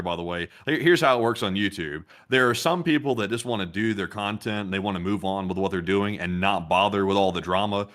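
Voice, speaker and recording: A man's voice: fairly low pitch, a bit bright. A young man co-hosting a livestream, reading out donations and rambling with hesitant repeats. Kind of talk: casual livestream chat.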